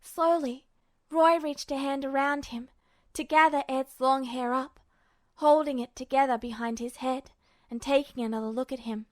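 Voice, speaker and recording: A woman's voice: high voice, fairly even intonation, normal speed, dry recording. A woman narrating fan fiction as a scripted story reading.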